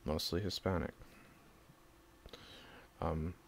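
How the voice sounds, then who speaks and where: A man from Southern California with a gravelly voice, rambling defensively in a monologue, swearing and breaking into sudden loud bursts. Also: low-pitched, level tone, slow pace, a little hiss or hum.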